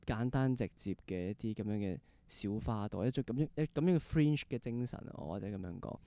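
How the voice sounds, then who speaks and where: Hk cantonese guy hosting a podcast, mellow voice, repeats himself a bit, drops english words, dry close audio. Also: lowish voice, fairly quick, creaky, smooth, dry recording. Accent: Hong Kong Cantonese. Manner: hesitant, repeats phrases, mixes in English. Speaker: podcast host. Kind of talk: casual interview chat.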